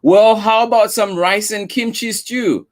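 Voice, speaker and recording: A caring storybook mom who speaks softly and tenderly, done by a man reading a picture book aloud. The voice sits higher than a man's usual register, with a slow, lilting delivery. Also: fairly even intonation. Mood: coaxing. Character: gentle caring mother.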